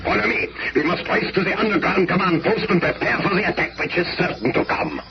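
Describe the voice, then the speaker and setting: A sinister, commanding villain. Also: male voice, sits high, big pitch swings, a little fast. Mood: menacing. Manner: cold, commanding menace. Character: sinister male villain bent on spreading hatred. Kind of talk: 1970s radio drama acting. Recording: dull noisy 1970s radio recording.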